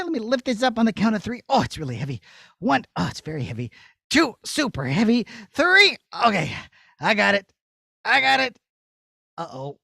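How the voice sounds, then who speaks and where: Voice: medium pitch, creaky, fairly bright tone, no echo, studio quality, full character voice. Performer as a bumbling, swooping puppet goat herder. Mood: straining, flustered. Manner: bumbling, exclamatory, straining. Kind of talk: children's puppet show acting.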